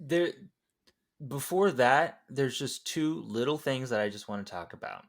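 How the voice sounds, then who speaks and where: A man's voice: mid-range voice, expressive, unhurried. A man chatting casually about films, animatedly retelling scenes, acting out lines and swearing freely. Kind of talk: casual movie discussion podcast chat.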